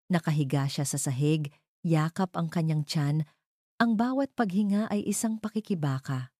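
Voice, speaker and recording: A woman's voice: sits low, dark tone, no room sound, studio quality. A woman reading scripted narration for a dramatic love story.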